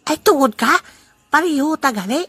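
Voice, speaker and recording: A woman's voice: high voice, expressive, a bit bright. A woman voicing a boastful, joking old grandfather who is poor but proud, speaking in a teasing way in an amateur audio drama. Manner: teasing, laughing, slow deliberate delivery. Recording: noisy amateur recording.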